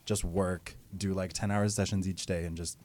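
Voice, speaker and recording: Easygoing low-voiced male singer. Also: moderate pace. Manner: relaxed, filler-heavy, easygoing. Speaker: guest musician. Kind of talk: casual chat.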